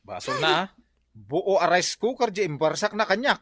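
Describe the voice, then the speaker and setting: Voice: medium pitch, very animated, moderate pace, fairly bright tone, dry recording, studio quality. An actor with an Ilocano accent voicing a hapless, whining, pleading husband in a comedy radio drama. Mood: defensive.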